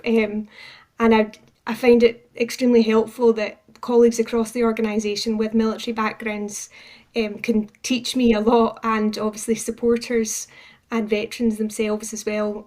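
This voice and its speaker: A woman's voice: on the high side, darkish tone. A woman speaking as a charity representative in an informative radio interview, with an earnest, appreciative way of talking. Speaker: charity campaigns manager, interview guest.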